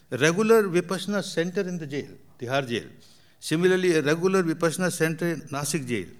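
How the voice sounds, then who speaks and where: Older man, emphatic meditation teacher. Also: medium pitch. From Burma. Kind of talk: answering audience questions.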